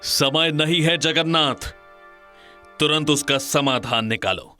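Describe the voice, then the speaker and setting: A man playing an earnest, authoritative Indian king in a cartoon folk tale, speaking formally and deliberately. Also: fairly high pitch, bright, forceful tone, soft background music. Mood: worried. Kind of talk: dramatised cartoon storybook dialogue.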